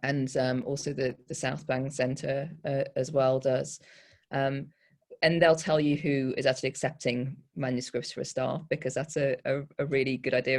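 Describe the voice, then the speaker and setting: A woman poet talks informally on a panel, giving practical advice. Her delivery is halting and candid, with a self-deprecating touch. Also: very deep voice, a little mellow.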